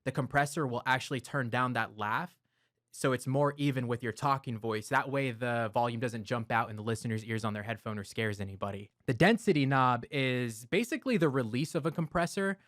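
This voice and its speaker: A man of medium pitch walks through studio gear in a relaxed, step-by-step way, with the odd filler word. He talks close into a broadcast mic in a home studio, and the sound is dry with no room echo. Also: a little fast. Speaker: audio gear reviewer. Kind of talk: explanatory gear walkthrough. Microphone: close broadcast dynamic mic.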